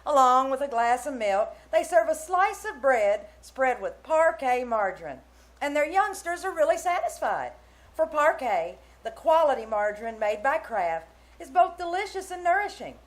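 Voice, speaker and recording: A woman's voice: high-pitched, very animated, moderate pace. A woman reading a scripted 1940s-style radio commercial as sponsor announcer, with emphatic delivery. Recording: live small-theater stage reading.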